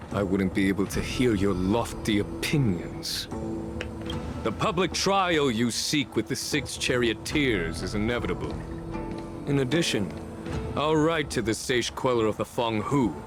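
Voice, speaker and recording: An actor playing a calm, authoritative statesman general with a formal, dry, deliberate way of talking. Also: lowish voice.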